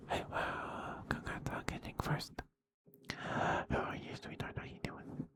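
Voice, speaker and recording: A soft-spoken man hosting a casual ASMR livestream, talking to viewers in an unhurried, affectionate way. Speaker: streamer, host of the show.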